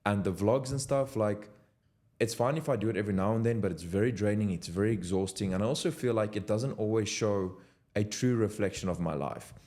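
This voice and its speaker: A man's voice: on the low side, fairly quick, a little mellow. A young man, talking unscripted to camera from his home desk in an earnest, motivational way. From South Africa. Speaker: content creator. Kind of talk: unscripted talking-head vlog.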